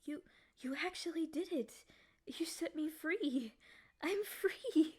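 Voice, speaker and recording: A woman playing a lonely, obsessively devoted demon in an intimate ASMR roleplay, speaking softly and often slipping into whispers. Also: sits high, expressive, takes it slowly. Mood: stunned, overjoyed. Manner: soft, doting, often dropping into breathy whispers. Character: lonely, obsessively devoted female yandere demon, once sealed.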